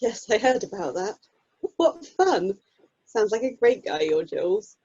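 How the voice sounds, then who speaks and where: A woman playing a friendly, sensible young mother in a radio play, with a chatty, gently teasing manner. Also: mid-range voice, very animated, a little slow, husky. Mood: teasing, cheerful. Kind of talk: amateur radio play acting. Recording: dull, lo-fi video call sound.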